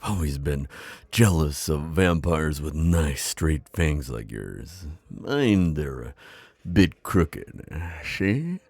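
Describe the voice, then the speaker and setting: A man playing a friendly, wise old vampire innkeeper in an ASMR roleplay, with a deep gravelly voice and a chatty, reassuring manner. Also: very animated, slow pace, a little mellow, dry recording, full character voice. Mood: curious, friendly. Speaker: roleplay audio performer. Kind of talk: immersive ASMR roleplay acting.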